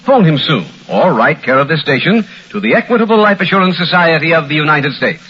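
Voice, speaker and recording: A man's voice: a little high, animated, brisk. A man announcing on a vintage radio show in a polished, formal, scripted sales style. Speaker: radio announcer and sponsor spokesman. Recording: muffled old radio broadcast with music.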